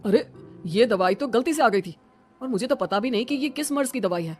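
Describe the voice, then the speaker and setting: A woman voicing a trusting, steady middle-aged father in an animated story. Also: fairly high pitch, fairly quick, faint music behind, close to an everyday voice. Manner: steady, reassuring.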